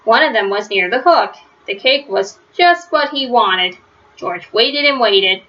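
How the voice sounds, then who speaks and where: Female storybook reader for children: fairly high, bright, expressive delivery, slightly muffled lo-fi sound. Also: unhurried. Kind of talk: read-aloud children's story narration. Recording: dull, lo-fi sound.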